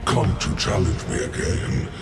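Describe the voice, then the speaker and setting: An actor playing a huge phantom alien warlord, a contemptuous tyrant with a gravelly voice and a sneering, theatrical manner. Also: male voice, low-pitched, very animated, unhurried, exaggerated voice. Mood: taunting. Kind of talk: dramatic video game villain acting. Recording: echoing voice under loud music.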